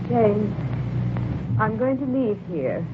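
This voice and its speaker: An actress playing a despairing, fearful and jealous wife in an old-time radio horror drama, with a melodramatic, fraught delivery. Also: female voice, medium pitch, sing-song, takes it slowly. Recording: tinny, noisy vintage radio recording.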